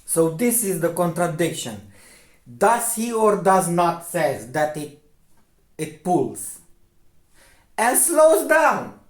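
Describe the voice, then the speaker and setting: A man with a Romanian accent, a native Romanian speaker, giving a lecturing commentary, insistent, often checking "okay?" and pausing on fillers. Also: high-pitched, very animated, reverberant. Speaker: video commentator.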